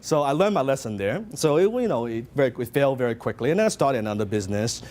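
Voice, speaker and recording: A man's voice: mid-range voice, lively intonation, fast, a little mellow. A middle-aged man from Hong Kong with a Hong Kong Cantonese accent, giving a motivational seminar talk and telling his story with lots of questions to the audience. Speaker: business speaker.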